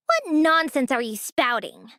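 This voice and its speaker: A high, piercing anime game girl who thinks herself a perfect angel. She is haughty, petulant and hot-tempered. The delivery is animated and theatrical. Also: slow pace, dry recording, studio quality, heavily acted. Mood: indignant. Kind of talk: scripted anime game dialogue.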